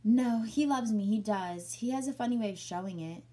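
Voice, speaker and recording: A woman reading the lines of a loyal, abused male dog who speaks softly and forgives his owner. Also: a little high, normal speed, far from the mic, voice pushed up, lightly acted. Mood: pleading. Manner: soft, pleading, devoted.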